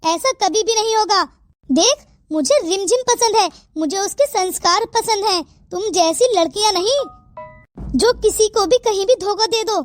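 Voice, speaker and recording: A woman's voice: very high-pitched, normal speed, faint music behind. A woman voicing a stern, indignant mother in a theatrical, scolding style for a Hindi family cartoon. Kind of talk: Hindi moral-story cartoon dialogue.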